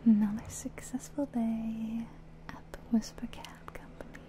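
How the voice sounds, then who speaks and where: A woman's voice: on the high side, level tone, dark tone, natural voice. Whispering female taxi driver played by a woman. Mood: calm, musing. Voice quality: breathy whisper. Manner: slow, musing to herself. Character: easygoing female night-shift taxi driver musing alone. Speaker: ASMR roleplayer. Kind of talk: soft-spoken whispered ASMR roleplay.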